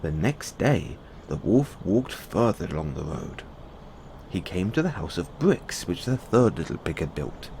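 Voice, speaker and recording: A man narrating a bedtime fairy tale as a storyteller, in a simple, measured read-aloud delivery. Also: sits low, sing-song, creaky, darkish tone, a little hiss or hum.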